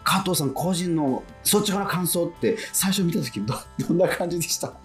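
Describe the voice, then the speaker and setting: Male radio host, expressive and chatty, widely varied intonation, interviewing over faint music. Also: on the high side, fairly quick. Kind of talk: casual radio interview chat.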